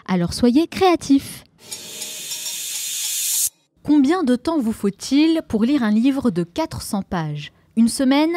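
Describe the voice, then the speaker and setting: Upbeat higher-voiced woman hosting. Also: warm tone, no room sound. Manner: upbeat, motivational delivery. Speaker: podcast host. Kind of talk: scripted podcast intro, then interview.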